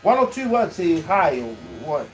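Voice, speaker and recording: A man with a fairly high voice tells stories in a barbershop chat. He talks with animation and repeats words when he gets excited. Faint shop noise runs under his voice. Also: normal speed, poor audio. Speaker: barbershop podcast guest. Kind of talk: casual chat.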